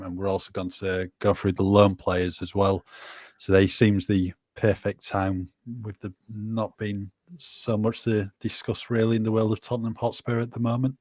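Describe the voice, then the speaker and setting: A man's voice: on the low side, no echo. A man with an informative manner, hosting a casual football podcast. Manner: steady, informative, leads the talk. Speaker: podcast host.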